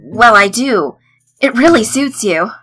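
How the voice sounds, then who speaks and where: A woman's voice: on the high side, animated, measured pace, bright, forceful tone, slightly rough, soft background music, exaggerated voice. A woman voicing a kind, sincere, smitten teenage schoolboy in a story reading. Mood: admiring.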